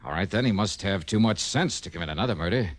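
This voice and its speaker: An actor playing a sharp, skeptical American insurance investigator in a 1950s radio drama, asking brisk, probing questions in colloquial style. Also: male voice, low-pitched, lively intonation. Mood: probing, wry.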